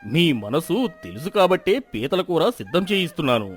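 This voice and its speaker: An actor playing a proud, commanding village landlord in a moral story cartoon, with a pompous, imperious manner. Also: male voice, a little high, big pitch swings, fairly quick, soft background music. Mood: proud boasting. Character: proud, commanding village landlord anxious about his honour.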